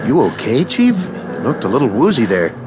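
An animated, clipped navy sonar officer. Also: male voice, medium pitch, moderate pace, music in the background. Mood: concerned. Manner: clipped military reporting. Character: attentive male navy sonar officer, crisp and concerned. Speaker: actor. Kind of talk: radio drama acting.

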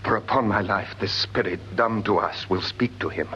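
A British actor playing a thoughtful night-watch companion, solemn and measured, in a classical Shakespearean radio drama. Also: male voice, on the low side, pushed and bright. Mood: grave, resolved. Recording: muffled old radio recording with hum.

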